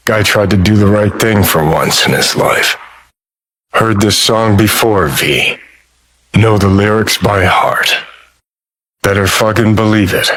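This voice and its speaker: A man's voice: lowish voice, fairly bright tone, exaggerated voice. A man voicing a cynical, foul-mouthed rockerboy ghost in a video game, with an American accent and a sardonic, world-weary drawl. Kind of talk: video game character dialogue.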